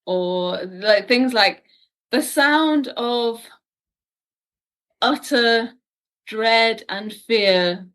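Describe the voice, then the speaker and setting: A woman's voice: on the high side, very slow, pauses often, fairly bright tone. A British woman speaking casually and emphatically as an interview guest, telling stories with self-mocking humour. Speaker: guest, audio drama and TV writer.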